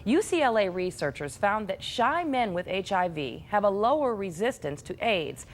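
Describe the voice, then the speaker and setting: A woman's voice: mid-range voice, big pitch swings, normal speed, a little hiss or hum. A woman with an American accent, reading scripted news as a television anchor.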